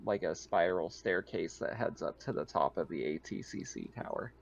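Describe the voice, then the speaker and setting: A man's voice: sits low, expressive, moderate pace, gravelly, dull audio. A man improvising casual, informal narration as game master of a tabletop roleplaying game, with some swearing.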